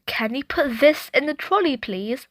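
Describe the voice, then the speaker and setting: A woman's voice: high-pitched, animated, measured pace, no room sound, clean studio sound. A woman voicing a patient but flustered mother in a picture book, giving short instructions. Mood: patient, instructing. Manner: short firm instructions, tag questions.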